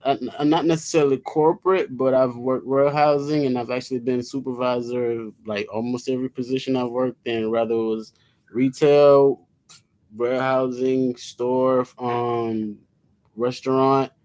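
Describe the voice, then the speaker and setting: A man's voice: medium pitch, steady tone, moderate pace, darkish tone, low-quality recording. An American man in his thirties chatting casually and telling a story in slangy speech. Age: 30s. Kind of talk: casual chat, telling an anecdote.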